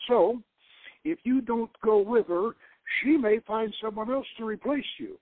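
A man playing a calculating, authoritative intelligence director in a dramatic novel reading, explaining things in a measured way. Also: sits high, no echo, narrow, tinny audio, pitched above the performer's own voice. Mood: persuasive.

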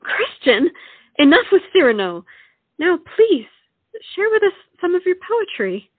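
A woman's voice: extremely high pitch, sing-song, unhurried, dry recording, heavily acted. A woman playing an earnest, romantic young lady who presses her point insistently in a stage comedy. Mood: exasperated. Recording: tinny, narrow-band sound.

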